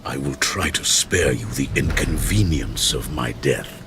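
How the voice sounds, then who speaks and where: Low-voiced, stern wounded fighter. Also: male voice, expressive, unhurried, gravelly, over music, exaggerated voice. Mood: stoic, dry. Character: wounded, stern, dutiful fighting companion, a grown man. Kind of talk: video game cutscene acting. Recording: loud ambient noise over the voice.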